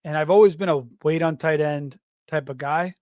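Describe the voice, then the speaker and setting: Middle-aged male stream host, slightly raspy from a cold, rambly and casual, somewhat high voice, dry close mic. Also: fairly even intonation, normal speed, darkish tone, no echo. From Florida. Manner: rambling, tangents, frequent fillers and restarts. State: slightly hoarse, voice going raspy. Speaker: fantasy football show host. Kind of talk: casual live-stream sports talk.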